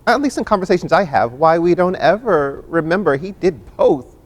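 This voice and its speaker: A man's voice: high voice, sing-song, moderate pace. A man hosting an arts discussion, addressing guests and viewers at home in an emphatic, theatrical way with repeated phrases. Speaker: host and moderator of an arts talk. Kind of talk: warm-up hosting talk to a home audience and panel.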